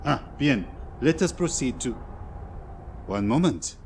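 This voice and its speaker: An actor playing a shrewd middle-aged Belgian detective with a French-speaking Belgian accent, formal and precise, in a 1940s radio drama. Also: male voice, medium pitch, sing-song, slow pace, slight background noise. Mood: alarmed. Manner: formal, theatrical, precise phrasing. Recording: vintage 1940s radio broadcast, music behind.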